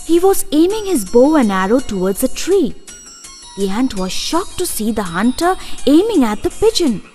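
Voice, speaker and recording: Female storyteller, high-pitched, animated intonation, children's tale narration, faint backing music. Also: moderate pace.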